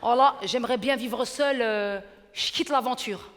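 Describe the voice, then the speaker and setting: An energetic woman in her thirties, from Belgium, performing stand-up on a large stage. She talks in a punchy way and slips into the voices of her relatives. Her voice is high, and the room adds a long reverb. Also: normal speed, a little hiss or hum. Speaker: stand-up comedian. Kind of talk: stand-up comedy.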